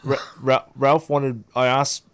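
A man's voice: medium pitch, little pitch movement, slow pace, clear, resonant tone. A young Australian man in his twenties, a radio guest telling long stories with many ums and restarts in a studio interview. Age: 20s.

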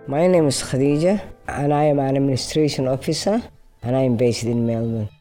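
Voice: medium pitch, dark tone, faint music behind. An administration officer speaking hesitantly in a recorded voice note. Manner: hesitant, halting.

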